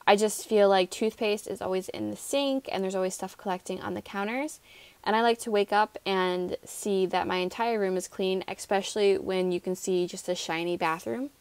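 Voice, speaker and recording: Young american lady doing a casual vlog, chatty and upbeat, medium pitch. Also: fairly even intonation, moderate pace, darkish tone, smooth, no echo. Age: 20s. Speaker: home vlogger. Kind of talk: casual vlog narration with product promotion.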